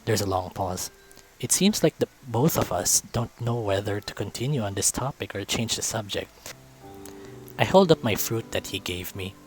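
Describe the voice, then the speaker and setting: Low-voiced man narrating a story. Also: lively intonation, normal speed, faint music behind, rough recording. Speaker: visual novel narrator and player. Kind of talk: expressive read-aloud story narration.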